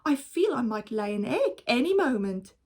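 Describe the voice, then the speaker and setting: A woman voicing an excitable, tearful tiny mother in a children's story, with a fluttery, exclaiming manner. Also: on the high side, normal speed. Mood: delighted.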